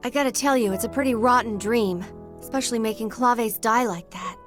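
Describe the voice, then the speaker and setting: A woman's voice: a little high, fairly quick, over music, close to an everyday voice. A woman playing a caring, spirited young fighter in a video game, speaking frankly and directly. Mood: frank, insistent.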